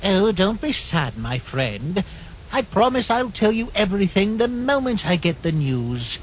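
Man as a proud innocent skeleton, theatrical, hearty, slow, animated pitch. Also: slight background noise, low-quality recording. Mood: friendly, reassuring. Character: friendly, proud, innocent male cartoon skeleton. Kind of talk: animated comic dub acting.

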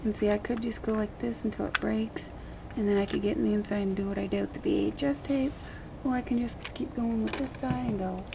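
A woman giving a casual, unscripted how-to in a rambling, colloquial, slightly crude way, with a creaky voice. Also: medium pitch, fairly even intonation, a little fast. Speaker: vlogger giving a how-to demonstration. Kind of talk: casual unscripted hands-on tutorial. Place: small dim room indoors at a table. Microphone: camera mic pointed at hands on table. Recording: noisy homemade camera recording.

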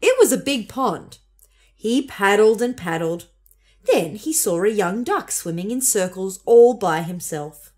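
A woman's voice: mid-range voice, lively intonation, measured pace, darkish tone. A young woman narrating a children's story expressively as a storybook reader.

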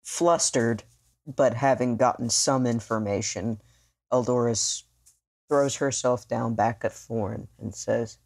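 A player narrating a tabletop role-playing game in halting, thoughtful, improvised phrases. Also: medium pitch, a little mellow.

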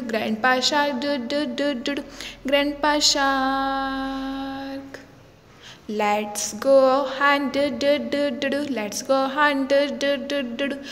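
A woman's voice: high-pitched, a bit bright, in a large hall. A woman singing nursery rhymes for children in a repetitive sing-along delivery. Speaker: children's song singer. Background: faint backing music.

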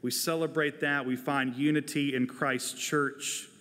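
A man in his forties delivering a prepared sermon as a pastor, earnest and deliberate, speaking into a lapel mic in a large church. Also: medium pitch, little pitch movement, sharp tone. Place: large church sanctuary, indoors, at a pulpit. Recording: long hall reverb of a large sanctuary.

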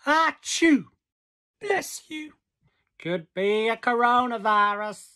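Picture-book cartoon character, child or grown-up played by a woman reader, high-pitched, unhurried and drawn out. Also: lively intonation, sharp tone, heavily acted. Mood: playful. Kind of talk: children's storybook character voices.

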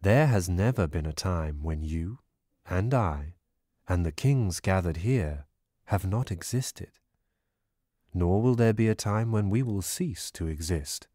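Calm, commanding male god-teacher acted by a man for scripture audiobook: deep, husky, grave. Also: no room sound, studio quality, exaggerated voice. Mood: calm, teaching. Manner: deliberate, grave, instructing.

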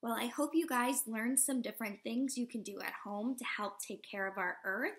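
A woman in her thirties, a teacher reading a picture book aloud to young children in an expressive, instructive way. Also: fairly high pitch, normal speed. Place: living room at home, indoors.

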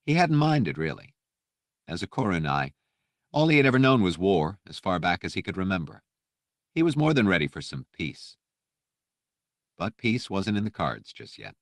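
A man reading a scripted audiobook story with measured, precise, dramatic narration. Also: deep voice, very animated, no room sound, clean studio sound.

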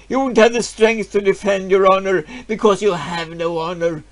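A man's voice: sits high, steady tone, extremely slow. A man playing jeering cruel villagers. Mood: mocking. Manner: loud taunting.

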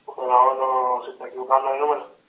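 A man's voice: mid-range voice, monotone, fast talker. A young man, speaking hesitantly in short protests over a telephone. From southern Spain. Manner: hesitant, brief protesting replies. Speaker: prank call recipient. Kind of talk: casual phone conversation. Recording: roomy phone call on speaker.

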